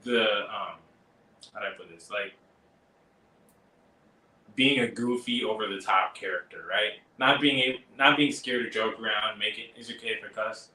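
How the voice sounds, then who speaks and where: A man's voice: on the low side, moderate pace, long pauses, pushed and bright, roomy sound, far from the mic, poor audio. A man speaking as a wrestling guest in casual chat, hesitant and searching for words.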